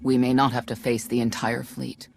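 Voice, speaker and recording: A man's voice: mid-range voice, flat delivery, normal speed, gravelly, slight background noise, lightly acted. A man playing a thoughtful military strategist in a video game.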